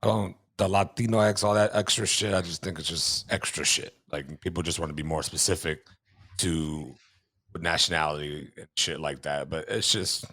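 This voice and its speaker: A man with a very low voice chats casually as a podcast panelist. He is blunt and offhand, swearing freely, in a dry, close recording. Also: moderate pace, bright, forceful tone, dry recording. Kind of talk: casual chat.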